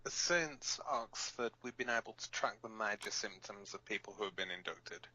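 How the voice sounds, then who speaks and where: Blunt, bright-edged resistance co-host, a man acting, lo-fi. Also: fairly low pitch, normal speed. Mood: serious. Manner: blunt, sardonic. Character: gruff, blunt, cynical resistance fighter co-hosting broadcast. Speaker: radio show co-host. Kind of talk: scripted audio drama broadcast.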